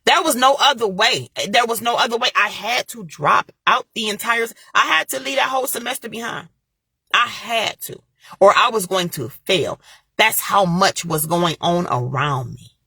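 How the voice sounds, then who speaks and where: A woman's voice: mid-range voice, normal speed, gravelly, pushed and bright, husky, no room sound. A young woman with giving an impassioned motivational talk, repeating her points and speaking straight to her viewers. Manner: preachy, repeats phrases, talks straight to viewers. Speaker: motivational vlogger.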